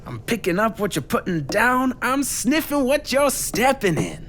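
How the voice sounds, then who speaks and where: A woman's voice: on the high side, very animated, a little slow, a little hiss or hum. A woman playing a cool, laid-back, needling passenger in an audio drama, full of hipster slang. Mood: cool, teasing.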